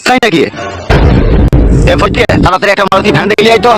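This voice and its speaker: A man playing a gruff, irritable older village father in a comedy cartoon, scolding bluntly and crudely, with music behind. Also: high voice, rapid-fire, close to an everyday voice. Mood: urgent.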